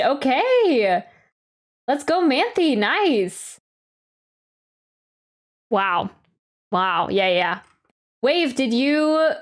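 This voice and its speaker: A woman streamer reacting casually and talking with her viewers in informal, slangy language. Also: a little high. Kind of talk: casual livestream reaction chat.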